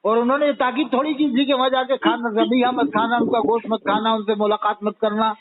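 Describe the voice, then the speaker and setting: A very high-voiced man speaks over a narrow, tinny phone connection. He argues insistently, repeating his points and pressing them home with rhetorical questions. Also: fairly even intonation, brisk, a crowd in the background. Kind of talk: argumentative phone conversation. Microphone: telephone. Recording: tinny phone line, call recording.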